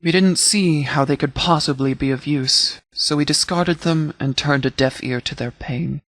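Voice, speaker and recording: An actor playing a stern but caring military commander in a video game, speaking in a measured, formal, authoritative way. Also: male voice, a little high, fairly bright tone, dry recording. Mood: grave, reflective. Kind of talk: scripted game dialogue.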